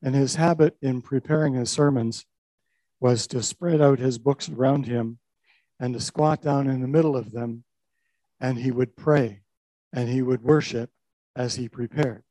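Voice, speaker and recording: Older pastor, mellow storytelling voice. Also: male voice, mid-range voice, steady tone, measured pace, no echo, rough recording. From Canada. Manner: reflective storytelling with small restarts. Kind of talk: personal testimony talk.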